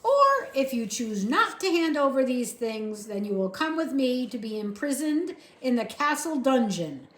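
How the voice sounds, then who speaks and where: A woman voicing a dutiful male soldier who speaks formally and shouts slowly to be understood, recorded in an echoey room. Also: high-pitched, very animated, voice pushed up, heavily acted.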